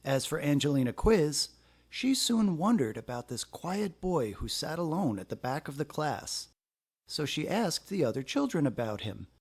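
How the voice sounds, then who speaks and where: A man reading a children's picture book aloud in an expressive, deliberate storytelling manner. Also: a little high, slightly rough.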